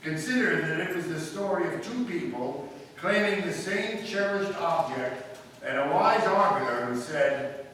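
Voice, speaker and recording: A man playing a brisk lecturing radio detective. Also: fairly high pitch, lively intonation, fairly bright tone. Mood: explaining. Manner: crisp, lecturing, building to emphatic points. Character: brisk clever male amateur detective explaining a case. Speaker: amateur radio actor. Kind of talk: live old-time radio drama reading. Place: live stage performance in a hall. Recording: echoey hall from far off, faint hiss.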